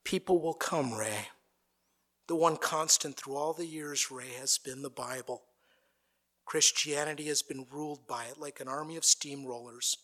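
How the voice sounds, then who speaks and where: A man preaching a sermon, his voice pitched a little high and ringing bright. He lets his delivery swell into a stirring, dramatic speech. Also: normal speed. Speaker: pastor. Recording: played from an archive recording.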